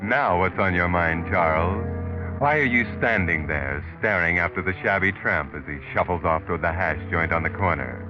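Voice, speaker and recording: Casting: male actor for sinister 1940s radio mystery narrator, deep voice, sardonic, needling, insinuating, over music. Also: highs cut off, lightly acted. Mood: knowing, sardonic. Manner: taunting, insinuating, deliberate rhetorical questions. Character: sardonic, ominous male narrator taunting a would-be murderer.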